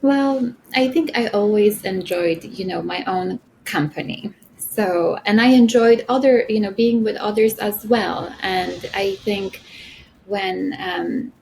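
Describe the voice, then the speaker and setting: Woman, middle-aged, soft-spoken, reflective, fills pauses with "you know". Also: mid-range voice, creaky, slight background noise, low-quality recording. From Poland. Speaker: podcast guest, esthetician. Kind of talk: interview conversation.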